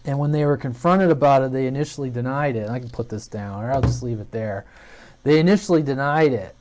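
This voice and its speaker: American man, medium pitch, casual unscripted channel host, hesitant, rambling, thinking aloud. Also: a little fast, background noise. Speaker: hobby radio video channel host. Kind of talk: casual unscripted talk to viewers.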